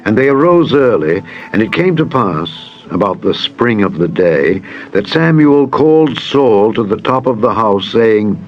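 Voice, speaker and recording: A man reading dramatized Bible narration in a solemn, measured storytelling manner. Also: fairly low pitch, big pitch swings. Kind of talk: dramatized scripted scripture narration. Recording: dull lo-fi audio over music. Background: music.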